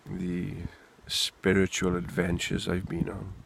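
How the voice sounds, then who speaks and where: A man's voice: sits low, completely flat delivery, slow, vocal fry. A Welsh man rambling candidly and swearing freely in an unscripted monologue, recorded outdoors in the wind. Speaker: vlogger.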